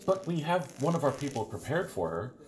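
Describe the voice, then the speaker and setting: A man's voice: mid-range voice, big pitch swings, a little fast, a little mellow, lightly acted. A man playing a formal, obsequious henchman reporting schemes to his master in an audio drama. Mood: deferential, scheming. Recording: noisy covert room recording.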